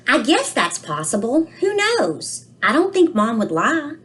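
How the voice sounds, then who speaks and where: A woman voicing an anxious, literal-minded little boy in a singsong, expressive storybook reading. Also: on the high side, a little slow, voice pushed up, full character voice. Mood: worried. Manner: worried, singsong rhyming delivery.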